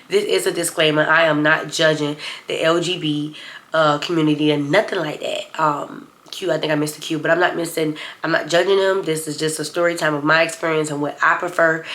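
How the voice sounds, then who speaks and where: Low-voiced congested young woman vlogger. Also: a bit bright. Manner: chatty, rambling, talks straight to viewers. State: congested from a cold. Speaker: storytime vlogger. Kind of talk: casual storytime chat.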